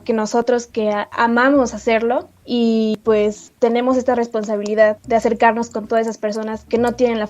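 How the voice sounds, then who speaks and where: A woman's voice: a little high, dark tone. Earnest young Mexican woman, muffled mic. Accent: Mexican Spanish. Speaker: guest, youth science society member.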